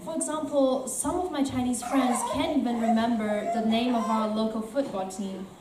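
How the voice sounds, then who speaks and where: A woman's voice: on the high side, fairly even intonation, normal speed, natural voice. A woman playing a newcomer from Shanghai who earnestly compares food and football in an amateur stage performance. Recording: echoey room from far off, faint noise.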